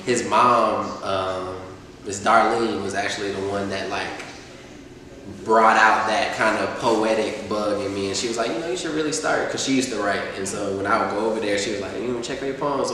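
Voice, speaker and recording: Young male rapper, relaxed rambling talker, lowish voice; recorded far from the mic in a reverberant room with faint noise. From Atlanta, US. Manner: laid-back, rambling with many fillers. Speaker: rapper guest. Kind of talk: casual interview chat.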